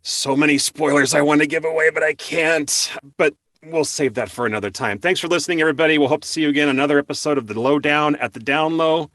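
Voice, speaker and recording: A man's voice: a little high, fast, hardly any pauses, fairly bright tone, dry recording, clean studio sound. An American man hosting an interview show in a casual, affable and appreciative way.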